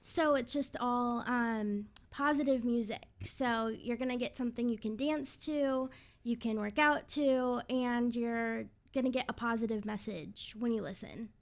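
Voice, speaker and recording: A woman in her twenties with a slightly high voice speaks as a guest in an interview. She is a radio presenter, and she answers in an upbeat, conversational way. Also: unhurried. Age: 20s.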